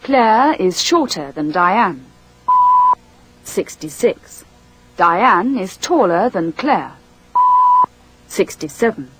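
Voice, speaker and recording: A woman reading a scripted language course drill, slowly and with careful enunciation. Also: mid-range voice, big pitch swings, fairly bright tone, a little hiss or hum, rough recording. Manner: slow, deliberate, carefully enunciated.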